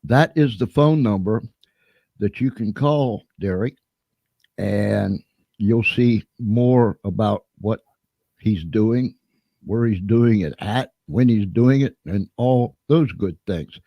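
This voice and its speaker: A man hosting a live interview show in an upbeat, energetic manner. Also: on the low side, slow pace, gravelly, dry recording, dull audio. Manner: upbeat, energetic host patter. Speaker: show host.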